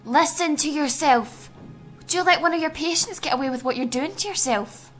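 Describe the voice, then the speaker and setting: An actress playing a stern, bossy but protective medical colleague in an audio drama, speaking in a firm, scolding manner. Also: female voice, sits high, normal speed, soft background music, close to an everyday voice. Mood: exasperated, scolding.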